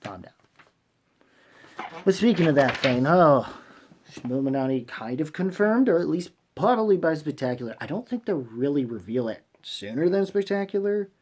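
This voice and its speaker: A man giving casual, rambling vlog commentary, haltingly repeating himself and trailing off mid-thought in informal language. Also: a little high, lively intonation. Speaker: vlogger hosting a fan channel. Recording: clearly noisy recording with clicks.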